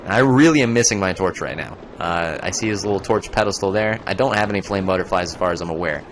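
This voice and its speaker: A man's voice: low voice, fast, a little hiss or hum. A young man streaming a video game, chatting casually, rambling and joking as he plays. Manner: rambling, joking, breaks into goofy voices. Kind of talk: casual gaming commentary.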